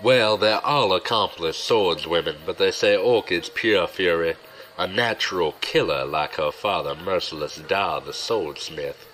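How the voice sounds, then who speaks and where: Actor as deep-voiced, sly gambler buddy. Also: male voice, a little slow, fairly bright tone, faint music behind, poor audio. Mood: confiding, teasing. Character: sly, regretful gambler, smooth-talking old buddy, man. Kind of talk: audio drama acting.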